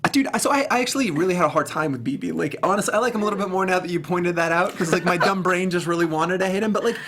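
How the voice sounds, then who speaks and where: A man's voice: sits high, very fast, a bit bright, slight background noise. A man talking casually and emphatically on a film review panel, with slangy, incredulous joking. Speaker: film review show host.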